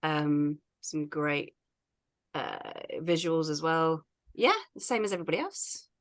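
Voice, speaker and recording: A woman's voice: low voice, a little slow. A woman giving casual feedback on a reading panel in a hesitant, apologetic manner.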